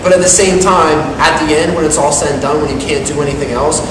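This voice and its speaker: A man's voice: on the high side, level tone, fast. A teenage male student officer talking earnestly and emphatically in a casual interview. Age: teens. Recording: echoey hall, distant mic, clearly noisy.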